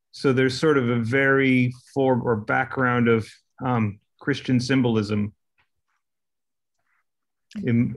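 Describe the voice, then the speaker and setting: A man participant in an online art discussion, speaking in a casual and apologetic way over a video call. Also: medium pitch.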